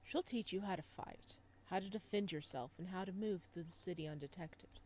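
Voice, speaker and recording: A woman voicing a calm, reassuring man who recruits newcomers in a visual novel, in a measured manner. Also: fairly low pitch, a little hiss or hum, lightly acted. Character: calm, reassuring male recruiter showing newcomer around, Dima.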